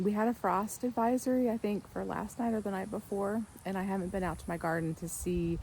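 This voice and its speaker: A woman, slightly raspy from a sore throat, chatting casually through a garden vlog while filming outdoors on her phone. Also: medium pitch, warm tone, a little hiss or hum, poor audio. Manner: chatty, rambling with many ums. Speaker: vlogger, gardening channel host. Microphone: phone held in hand.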